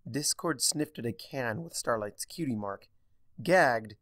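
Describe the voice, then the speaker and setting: A man narrating a fan fiction story in an expressive storytelling style. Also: medium pitch, measured pace, no echo, studio quality.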